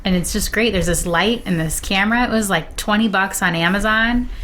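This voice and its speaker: A woman's voice: medium pitch, fairly quick, a bit bright, slight background noise. A middle-aged woman chatting casually as a guest on a livestream. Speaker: guest on her brother's stream. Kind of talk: casual chat.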